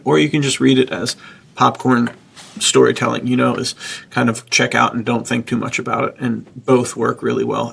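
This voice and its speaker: A man with a heavily creaky, husky voice talks as a podcast host. He chats casually and speaks with effusive enthusiasm, his delivery full of gravel and fry. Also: mid-range voice, fairly bright tone.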